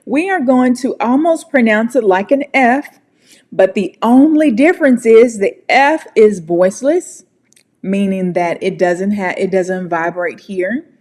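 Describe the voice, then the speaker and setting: A woman's voice: medium pitch, a little mellow. An American woman teaching English pronunciation in a casual online lesson, friendly and encouraging. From United States. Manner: friendly, encouraging, slow and deliberate.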